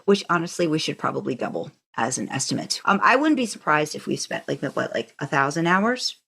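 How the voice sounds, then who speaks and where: A woman with a slightly low voice and very lively intonation hosts a podcast. She talks casually and chattily, as in an informal conversation. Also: brisk, vocal fry, breathy edge. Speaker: podcast co-host.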